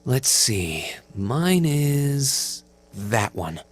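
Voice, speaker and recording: A young man playing an earnest, polite teenage student hero in a video game, speaking gently and hesitantly. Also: medium pitch, very animated, drawn-out words, full character voice. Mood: awkward, sincere. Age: young adult. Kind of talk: English video game voice acting.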